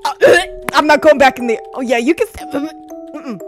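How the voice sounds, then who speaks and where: A woman's voice: high-pitched, normal speed, over music, lightly acted. A woman playing a jumpy, bossy young woman in an improvised horror-comedy roleplay, stammering and repeating her words with sass. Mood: panicked.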